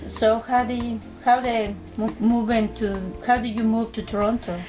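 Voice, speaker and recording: A woman's voice: fairly high pitch, little pitch movement, moderate pace, a little mellow, faint music behind, poor audio. A woman hosting a talk show, reading an introduction and asking simple, direct questions, sometimes stumbling over her words.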